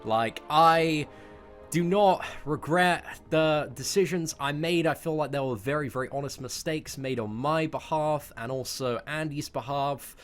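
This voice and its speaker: A man's voice: on the high side, unhurried, faint music behind. A young British man in casual, slangy storytime mode, talking to his viewers as a gaming video host with emphatic, sometimes sweary phrasing. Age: young adult.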